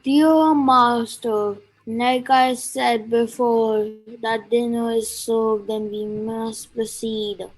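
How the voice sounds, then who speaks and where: A woman acting a solemn, deferential servant in a radio play, formal, measured and ominous. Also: a little high, steady tone, soft background music, rough recording.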